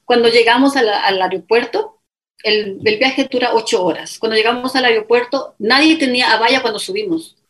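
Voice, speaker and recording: A woman's voice: fairly high pitch, normal speed. Ecuadorian woman telling her story. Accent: Ecuadorian Spanish. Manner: animated, quotes others in dialogue, many fillers. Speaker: guest. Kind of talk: casual interview storytelling.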